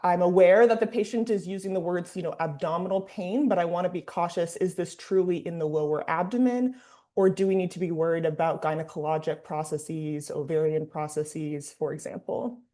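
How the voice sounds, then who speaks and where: A woman with a low, mellow voice talks through a medical case over a video call. She thinks aloud and uses frequent fillers. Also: fairly even intonation, brisk. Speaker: clinician discussant. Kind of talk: teaching discussion.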